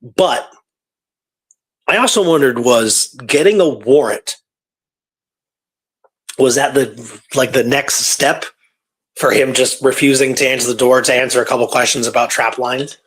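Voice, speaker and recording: Male radio co-host, a touch raspy, average pitch, tentative and reflective conversational delivery. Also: measured pace, pauses often, fairly bright tone, low-quality recording. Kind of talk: casual discussion of a historical story.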